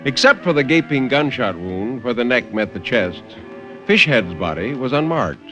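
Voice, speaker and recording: A man reading horror tales in an intense, deliberate, theatrical 1950s radio storytelling style. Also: medium pitch, sing-song, a bit bright, soft background music. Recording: noisy vintage 1950s radio recording.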